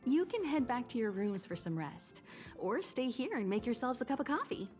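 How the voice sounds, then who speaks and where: A woman voicing a calm, wise and gracious mentor in a video game, with composed, elegant phrasing. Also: fairly high pitch, moderate pace, soft background music. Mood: gentle, reassuring. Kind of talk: scripted video game voice acting.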